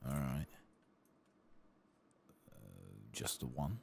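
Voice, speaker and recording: The soft, very low voice of a man on a late-night ASMR game stream, murmuring and half-whispering as he plays, a faint hiss behind him. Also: very slow. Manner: soft-spoken, often slipping into whispers. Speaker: host of an ASMR game playthrough.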